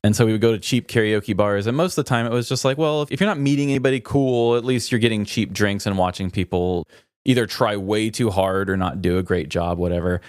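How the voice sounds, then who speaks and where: A man's voice: lowish voice, fast, clear, resonant tone, dry recording, clean studio sound. A man co-hosting a podcast, telling stories in casual, conversational chat. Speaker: podcast co-host.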